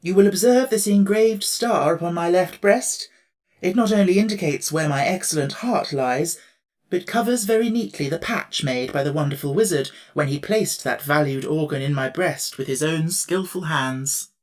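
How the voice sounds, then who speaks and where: A man's voice: on the high side, normal speed, lightly acted. A man reading an audiobook, voicing a courtly and dignified tin emperor with formal, gracious speech. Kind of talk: audiobook character voice.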